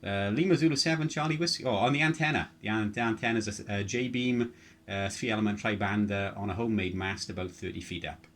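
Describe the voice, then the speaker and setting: A man working as an amateur radio operator, giving casual technical details in a halting, matter-of-fact way. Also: lowish voice, fairly bright tone. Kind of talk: casual technical chat over ham radio.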